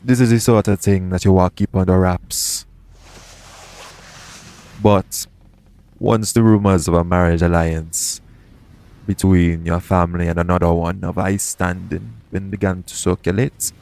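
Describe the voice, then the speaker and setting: A man acting a shrewd, smug pirate captain in an audio roleplay, with a taunting, deliberate, persuasive way of talking. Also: sits low, creaky, darkish tone. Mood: knowing, taunting.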